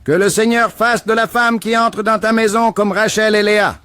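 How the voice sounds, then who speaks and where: A man playing a town witness who gives a solemn, formal blessing in an animated Bible story. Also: high voice, moderate pace, smooth, no room sound.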